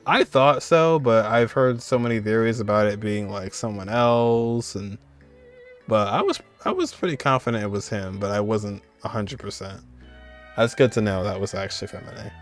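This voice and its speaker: A man's voice: lowish voice, moderate pace. A man streaming a game playthrough, thinking aloud in an informal, teasingly exasperated way with game music behind him. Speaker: gaming streamer. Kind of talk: casual playthrough commentary.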